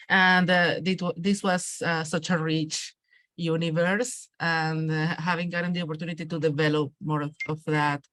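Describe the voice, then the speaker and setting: Low-pitched woman giving thoughtful, halting answers. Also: no room sound. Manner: halting, thoughtful, repeats words as she searches. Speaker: guest writer and digital rights activist. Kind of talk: reflective interview answer.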